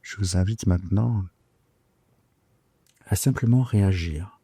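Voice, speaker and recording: A man leading a guided sleep meditation in a soothing, unhurried, hesitant way with long pauses. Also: sits low, slightly rough, no room sound. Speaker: yoga nidra guide. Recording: soft guitar music behind the voice. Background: gentle plucked guitar music.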